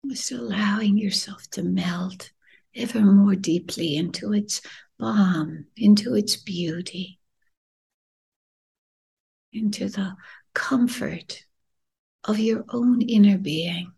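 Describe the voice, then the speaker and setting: A woman leading a guided meditation in a gentle, soothing, breathy voice that often drops near a whisper, unhurried with long pauses. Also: medium pitch. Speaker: podcast host and meditation guide.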